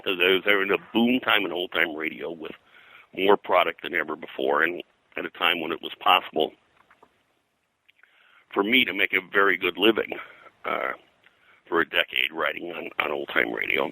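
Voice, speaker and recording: Very deep-voiced older American man, bright edge. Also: normal speed, vocal fry, pauses often, no echo, low-quality recording. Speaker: guest, comics colorist and publisher. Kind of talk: reminiscing interview talk.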